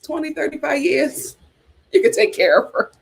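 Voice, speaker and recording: A young woman hosting a casual reality TV chat, sassy and animated, talking straight to her viewers. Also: high-pitched, moderate pace, vocal fry. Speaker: host.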